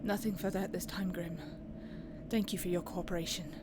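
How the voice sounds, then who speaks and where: A woman's voice: lowish voice, fairly even intonation, a little fast, natural voice. A woman playing a composed researcher questioning a dangerous subject in an audio roleplay, direct and businesslike. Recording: clear background noise and ambience.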